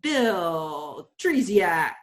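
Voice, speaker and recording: A woman's voice: on the low side, big pitch swings, drawn-out words. A woman acting as MC, introducing performers with an enthusiastic, showy delivery. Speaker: MC of a stage event. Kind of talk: introducing performers at a live event.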